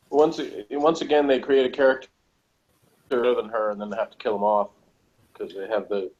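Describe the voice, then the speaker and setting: A man's voice: mid-range voice, flat delivery, moderate pace, pauses often, a little mellow. A man co-hosting a review in casual chat, with a hesitant, rambling way of talking. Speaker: review show co-host. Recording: dull, lo-fi sound.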